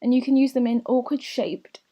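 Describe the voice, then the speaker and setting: Woman for a casual gaming voiceover, a bit croaky, warm, rambling. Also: a little high, normal speed. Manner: chatty and rambling, with soft whispery asides. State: slightly croaky, recovering from lost voice. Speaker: gaming channel host. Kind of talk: casual voiceover commentary over gameplay.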